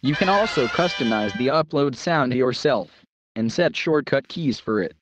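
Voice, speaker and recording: A man's voice: mid-range voice, animated, moderate pace, over music. A man reading a scripted product tutorial as the presenter.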